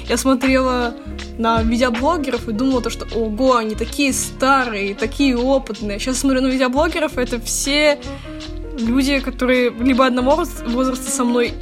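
20yo girl chatting on a podcast, kinda high voice, music playing behind. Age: 20s. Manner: chatty storytelling with slang. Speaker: podcast co-host. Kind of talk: casual chat.

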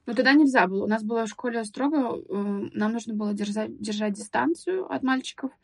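A young woman guest on a podcast, recalling her school years in a thoughtful, hesitant way with many pauses and restarts. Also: a little high, vocal fry, a little mellow, breathy edge, no room sound. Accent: Russian speaker living in England. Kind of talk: casual podcast interview.

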